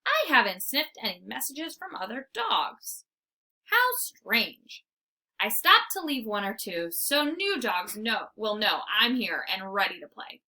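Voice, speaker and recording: A woman reading a children's storybook aloud in an expressive, theatrical way. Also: fairly high pitch, unhurried, few pauses. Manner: animated, theatrical. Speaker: storybook reader. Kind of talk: expressive read-aloud narration.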